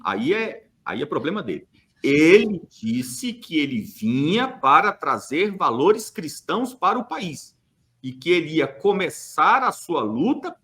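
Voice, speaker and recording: High, lively-voiced Brazilian man arguing. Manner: emphatic, argumentative, asks rhetorical questions, hesitant fillers. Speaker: church podcast speaker. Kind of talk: opinionated podcast monologue.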